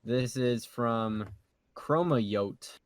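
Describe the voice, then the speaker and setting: A man's voice: mid-range voice, very slow, clean tone, no room sound. A man co-hosting a podcast, reading listener questions in a jokey, fumbling way. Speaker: podcast co-host.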